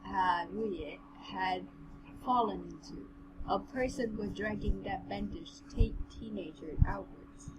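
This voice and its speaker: A woman with a mid-pitched voice co-hosts a read-along of a novel. She is informal and playful in her reading and commentary. The home recording has clear background noise. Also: slow pace. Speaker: co-host and reader. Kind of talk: novel read-aloud with casual, joking commentary.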